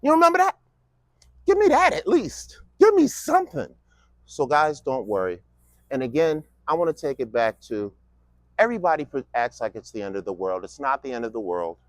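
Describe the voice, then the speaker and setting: A man's voice: medium pitch, big pitch swings, moderate pace, no room sound. A man in his fifties delivering a casual, mocking political monologue with lots of rhetorical questions. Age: 50s. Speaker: vlogger giving a political speech.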